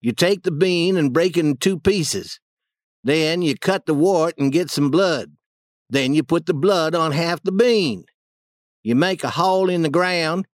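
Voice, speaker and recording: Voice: mid-range voice, measured pace, dry recording, studio quality, voice pushed up, lightly acted. A narrator voicing an easygoing, folksy village boy who explains things plainly and confidently in a children's audiobook. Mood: confident, instructive.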